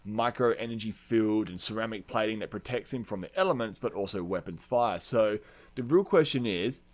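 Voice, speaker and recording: A man's voice: lowish voice, expressive, moderate pace. A man hosting a podcast, chatting casually and arguing his points in a blunt, sweary way. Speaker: podcast host. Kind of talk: casual chat, debating film characters.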